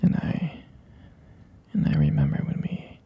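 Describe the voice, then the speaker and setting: A man playing a tender, smitten boyfriend in an intimate ASMR roleplay, speaking softly and haltingly in a breathy near-whisper. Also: dull audio, close to an everyday voice. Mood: smitten, shy. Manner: hesitant, halting, gentle. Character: tender, smitten boyfriend reminiscing about a first date.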